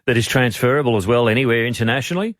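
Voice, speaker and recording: A man's voice: mid-range voice, very fast, no room sound. Australian man hosting radio, dry close sound. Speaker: radio host, interviewer. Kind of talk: casual radio interview.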